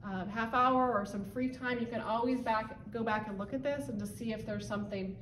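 Thirties woman trainer, fairly high voice, steady how-to explaining with ums, recorded from a distance with faint background hum. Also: a little fast. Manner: explanatory, pointing at a whiteboard while talking, frequent ums. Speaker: volunteer trainer. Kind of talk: instructional walkthrough. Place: indoor barn office with a wood-paneled wall. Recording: roomy, slightly distant sound with faint ambience.

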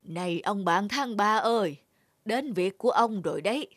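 Kind old cartoon wizard grandpa, slow n benevolent, music behind. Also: male voice, high-pitched, expressive, a bit bright, no room sound, clean studio sound. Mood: kindly. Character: kindly old male mountain month spirit. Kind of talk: children's cartoon acting.

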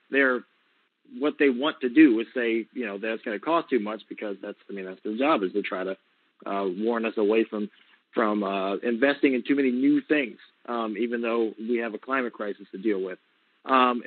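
A man's voice: medium pitch, dry recording. A man giving an unscripted, conversational explanation as an advocate on a conference call over a telephone. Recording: tinny, narrowband phone line.

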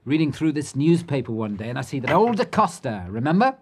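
An actor playing a boastful, eccentric old inventor who rambles and brags in a radio drama. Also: male voice, fairly high pitch, a little fast, noisy background. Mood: boastful, indignant. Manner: animated, bragging, rambling.

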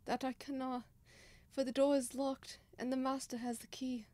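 A woman's voice: high-pitched, little pitch movement, moderate pace, a little mellow, no echo, natural voice. A woman playing a pious, timid, kindly old male servant, lamenting in old-fashioned radio drama style. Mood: kindly, concerned.

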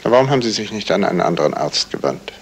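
An actor playing a composed, probing male detective in a 1970s radio crime play, asking formal, measured, pointed questions in a gravelly voice. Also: on the low side, sing-song, exaggerated voice. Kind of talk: 1970s German radio crime drama acting.

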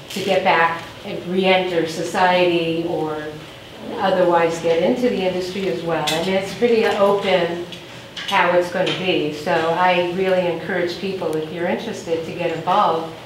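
A woman in the audience adding informed, measured remarks during a panel discussion. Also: lowish voice, far from the mic. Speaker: audience member, activist. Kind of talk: speaking up from the audience. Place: event room, indoors. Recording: echoey room, off-mic, background noise.

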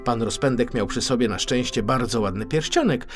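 A man narrating a children's audiobook in an expressive, scripted storytelling style. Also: medium pitch, a little fast, soft background music.

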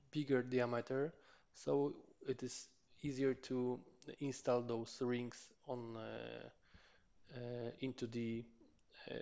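A man in his thirties, a Polish native speaker, explaining an engine rebuild in his workshop, talking haltingly with many fillers and restarts. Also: mid-range voice, a little mellow, dull audio. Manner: slow and hesitant, many filler sounds and restarts. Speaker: motorcycle mechanic channel host. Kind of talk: hands-on repair tutorial. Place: cluttered home workshop.

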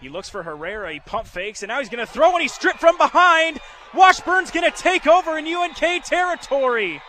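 A man doing excitable live radio play-by-play of a football game, building from steady calls to shouts. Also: very high voice, brisk. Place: outdoor football stadium. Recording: noisy live outdoor stadium broadcast.

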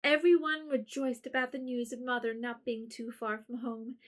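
A woman narrating an audio drama in a measured storybook reading. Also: a little high, little pitch movement. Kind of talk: scripted narration.

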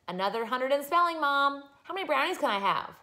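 A woman puts on a boisterous schoolchild from a storybook. The voice is raised in pitch, eager and cheeky, with a blurting, teasing delivery. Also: expressive, fast, sharp tone. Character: boisterous schoolchildren siblings, aged six to eleven, cheeky, teasing.

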